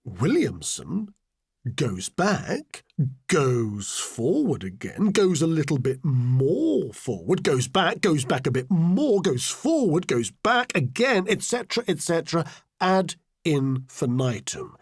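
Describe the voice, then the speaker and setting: A scheming, self-important political adviser in a satirical audiobook diary. The delivery is slow and deliberate, with very animated pitch swings under a deadpan, exasperated sarcasm. The voice is a little husky and closely, drily recorded. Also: male voice, no echo, studio quality, heavily acted. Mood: sardonic. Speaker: audiobook reader. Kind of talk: satirical first-person diary audiobook narration.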